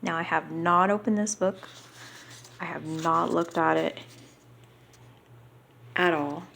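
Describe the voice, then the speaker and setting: A woman reading a book aloud unrehearsed, halting here and there and slipping into casual asides. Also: lowish voice, fairly even intonation, creaky, fairly bright tone, slight background noise.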